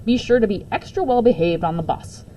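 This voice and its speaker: A woman's voice: a little high, animated, brisk, mellow, a little hiss or hum, natural voice, rough recording. A woman voicing a smart, funny male school principal making announcements to pupils in a children's storybook. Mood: announcing, cautioning. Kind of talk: children's storybook read-aloud.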